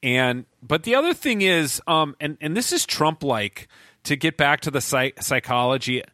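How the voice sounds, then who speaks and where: Middle-aged guy on a podcast, bright voice, keeps restarting his sentences, dry mic. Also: on the high side, lively intonation, moderate pace, no room sound. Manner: rambling, many restarts and fillers. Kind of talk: casual conversation.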